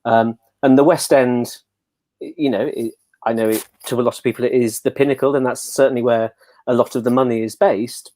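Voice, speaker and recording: A man's voice: mid-range voice, fairly even intonation, fairly quick, darkish tone, no echo. Reflective, articulate man, closely recorded. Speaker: theatre producer, panel guest. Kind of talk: thoughtful discussion.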